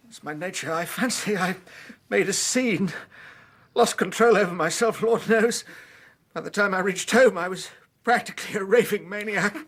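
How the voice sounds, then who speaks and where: An actor playing a fragile, nervous Victorian gentleman recovering from illness in a radio drama, husky and anxious, with an English accent. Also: sits high, moderate pace. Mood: agitated, ashamed. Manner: anxious, self-reproachful.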